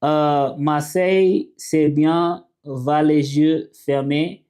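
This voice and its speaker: A man whose first language is English, hosting a casual livestream and reading viewer comments, talking haltingly with many fillers and restarts. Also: on the high side, fairly even intonation, darkish tone. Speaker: livestream host.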